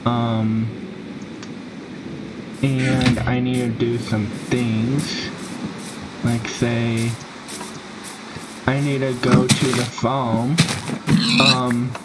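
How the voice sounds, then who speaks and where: A man giving casual gameplay commentary in a halting way, with sentences that trail off. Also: medium pitch, level tone. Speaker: gaming video host. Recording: very noisy home game recording with clicks.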